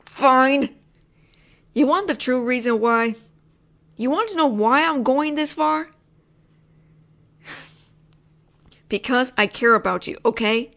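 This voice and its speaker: A woman's voice: high voice, animated, slow pace, pauses often, fairly bright tone. A woman acting out a one-sided audio roleplay as a frustrated, possessive roommate talking straight to the listener. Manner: frustrated, insistent, teasing. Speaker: voice actress playing a possessive roommate.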